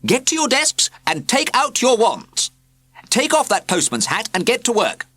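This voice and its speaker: Anxious, theatrical wizard teacher with a bright edge, put on by a man. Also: medium pitch, sing-song, normal speed, no echo, pitched above the performer's own voice, exaggerated voice. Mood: commanding. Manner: commanding, anxious, gloomy. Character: flustered male wizard schoolteacher, dramatic.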